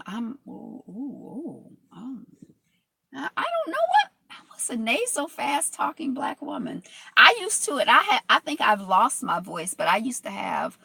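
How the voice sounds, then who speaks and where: A woman vlogger reads from a text and drifts into reflective, rambling asides. Her voice is gravelly and bright. It sits fairly low for a woman, in a dry, close-sounding space. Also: dry recording. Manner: rambling, reflective, with many fillers and restarts. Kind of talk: reading from a history text and commenting aloud.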